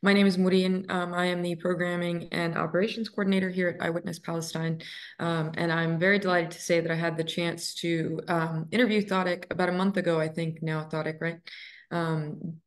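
A woman's voice: lowish voice, little pitch movement, a little fast. A young woman in her twenties hosting a webinar on a video call, friendly, with many ums. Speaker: webinar host. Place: room indoors. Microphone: laptop webcam on a video call.

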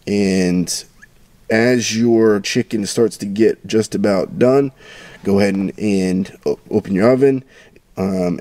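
A man's voice: fairly low pitch, dark tone, dry recording. A man in his thirties giving casual, enthusiastic cooking tips with lots of ums, recorded close on a camera at arm's length. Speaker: home cooking vlogger. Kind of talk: casual cooking instructions and tasting. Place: parked car and home dining room.

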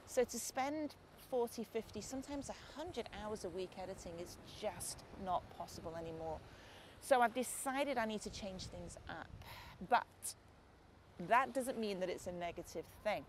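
A woman in her forties from Lancashire with a softened Lancashire accent, chatting casually to camera on a beach and thanking her viewers. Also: mid-range voice. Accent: Lancashire English, softened. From Lancashire, England. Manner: chatty, warm thanks, calls viewers guys and mate. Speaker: art channel host. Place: outdoors on a beach at sunset. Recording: noisy outdoor camera audio.

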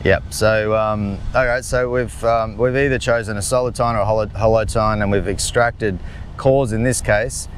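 A man's voice: lowish voice, steady tone, normal speed, slight background noise. A man, asking questions in a friendly, hesitant way, recorded outdoors on a golf course. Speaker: interviewer. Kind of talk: casual interview questions.

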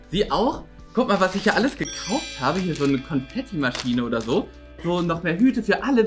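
A woman playing a silly, carnival-crazy teacher in an improvised comedy sketch, rambling and pleading in a bubbly, easily offended way. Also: fairly low pitch.

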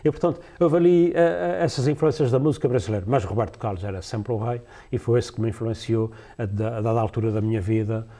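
A man's voice: lowish voice, quick pace, few pauses, mellow. A man in his sixties from the Azores with a European Portuguese accent, talking as a guest in a TV studio, hesitant and full of fillers and restarts. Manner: hesitant, many fillers and restarts, laughs easily. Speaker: musician guest. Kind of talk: casual studio interview. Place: TV studio set, seated in a leather chair.

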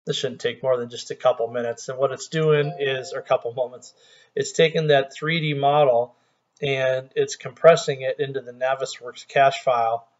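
A middle-aged man talking through a screen-recorded software tutorial in a relaxed, conversational way, with small restarts. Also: mid-range voice, normal speed, highs cut off.

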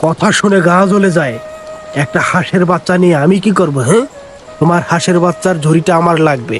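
A man's voice: high voice, fast talker, soft background music, lightly acted. A man doing a scornful greedy villager. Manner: scornful, haughty. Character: greedy rude village man, middle-aged. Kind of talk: cartoon voice acting.

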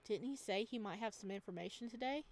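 A woman's voice: medium pitch, darkish tone. Woman reading a story game aloud. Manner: reads narration, adds quick joking asides. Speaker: game streamer. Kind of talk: reading a visual novel aloud with commentary.

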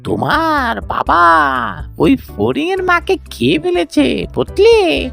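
Cunning fox for a kids' cartoon, female performer: gravelly edge, swooping delivery, falsely friendly. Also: high voice, sing-song, takes it slowly, faint music behind, heavily acted. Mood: sly, persuading. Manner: cunning, coaxing. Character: sly scheming fox feigning friendship to eat youngsters. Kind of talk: children's cartoon voice acting.

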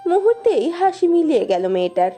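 A woman's voice: high-pitched, big pitch swings, brisk. A woman narrating a romance story expressively from a script. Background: soft music.

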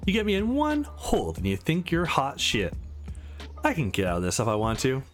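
A man's voice: mid-range voice, big pitch swings, moderate pace, faint music behind, natural voice. A man voicing a tough, scornful, foul-mouthed young woman fighter in a visual novel. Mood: defiant. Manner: scornful, combative.

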